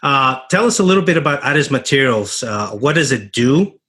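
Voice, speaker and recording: Husky, measured interview host. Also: male voice, mid-range voice, lively intonation, fairly bright tone. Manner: measured, with fillers. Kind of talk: formal interview introduction.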